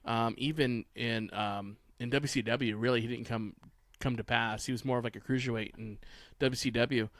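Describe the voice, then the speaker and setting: A man with an average-pitched voice and a close, dry sound. He talks as a panelist in a casual sports chat, blunt and rambling, swearing freely. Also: fairly even intonation, moderate pace, a bit bright, dry recording. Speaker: podcast panelist.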